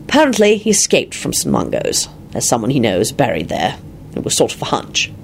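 A woman's voice: very deep voice, huge pitch swings, a little fast, creaky, slight background noise, full character voice. A woman voicing a mild, dry-humoured, sheepish man in a storybook, with an understated, mumbling delivery. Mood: deadpan, satisfied.

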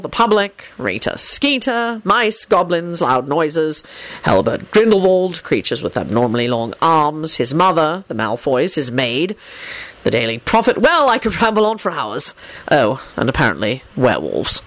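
A woman voicing a calm, dignified male law officer with a polite, measured, dry manner in a story reading. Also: low voice, no room sound. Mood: thoughtful, dry.

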